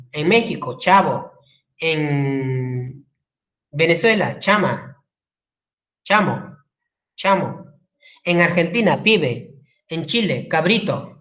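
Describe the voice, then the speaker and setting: A woman with a Peruvian Spanish accent giving a classroom lecture, acting out quotes and asking the class questions. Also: low-pitched. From Peru. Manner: lively, acts out quotes, asks the class questions. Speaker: teacher.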